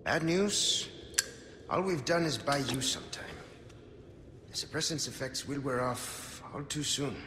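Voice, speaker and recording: A man's voice: mid-range voice, lively intonation, a little slow, background noise, lightly acted. An actor playing a charming, wisecracking rogue in his thirties with a Spanish accent, flamboyant and playful, in a video game cutscene. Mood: grave. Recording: loud music behind. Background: loud music.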